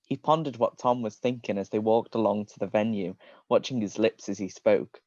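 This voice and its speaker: A man's voice: fairly low pitch, moderate pace, dark tone, dry recording, muffled recording. Male reader for a comedy livestream story reading. Dry, close sound, slightly dulled. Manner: often breaks into giggles. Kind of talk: reading comedic fan fiction aloud on a livestream.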